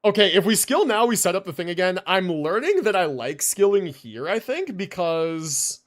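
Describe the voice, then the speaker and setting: A man livestreaming a video game with casual commentary, thinking out loud in slangy, sweary talk. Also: high-pitched, sing-song, normal speed. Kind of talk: casual gaming stream commentary.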